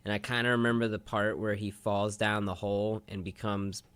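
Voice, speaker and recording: A man's voice: fairly low pitch, little pitch movement, normal speed, a bit bright, clean tone, no echo. A man co-hosting a casual chat show, talking in a loose, rambling, slangy way. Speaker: podcast co-host.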